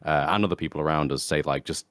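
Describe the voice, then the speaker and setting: A man in his twenties chatting casually on a podcast, thinking out loud in a rambling, reflective way. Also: extremely low pitch, quick pace, dry recording, clean studio sound. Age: 20s. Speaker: podcast guest.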